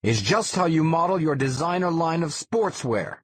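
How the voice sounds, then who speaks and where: A man's voice: fairly high pitch, expressive, normal speed, a little hiss or hum, voice pushed up, close to an everyday voice. A man voicing a pushy, slick publicity agent in an audiobook, emphatic like a salesman. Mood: yelling. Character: pushy, slick male publicity agent, yelling his ideas.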